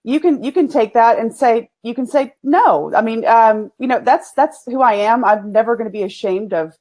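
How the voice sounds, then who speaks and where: A woman in her forties with an East Texas accent, a guest talking candidly and folksily in a casual interview, quick to laugh. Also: a little high, a little mellow. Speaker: agency owner, guest.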